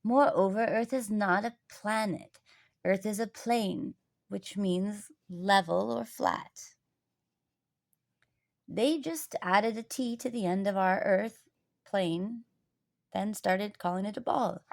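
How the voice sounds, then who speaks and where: Casting: jovial old grandfather for a children's storybook female reader, earnest, deliberate, explanatory delivery. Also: medium pitch, vocal fry. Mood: teasing, explaining.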